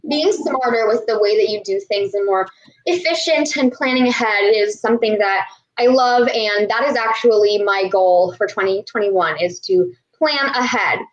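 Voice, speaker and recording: A woman's voice: medium pitch, moderate pace, dull audio. A young woman chatting casually and enthusiastically on a video call, rambling a little as she shares her goals. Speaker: co-host, maker. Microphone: webcam on a video call.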